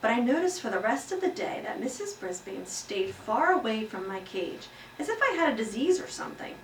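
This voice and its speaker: A woman voicing a small, earnest pet hamster who tells his classroom story with expressive, emphatic delivery. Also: a little high, fairly quick. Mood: worried. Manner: expressive, exclaiming, emphatic read-aloud delivery. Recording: roomy echo, distant mic, faint noise.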